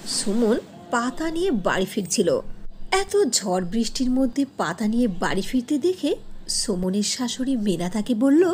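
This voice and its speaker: A woman's voice: fairly high pitch, sing-song, measured pace, a little mellow, a little hiss or hum. A woman narrating a scripted cartoon story as the storyteller.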